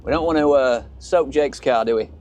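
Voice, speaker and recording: A man's voice: medium pitch, normal speed, darkish tone, highs cut off. A man with a Yorkshire accent presenting a casual car vlog in chatty, colloquial British slang. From Yorkshire, England. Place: in and around a small open-top car outdoors. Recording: noisy, car and outdoor ambience.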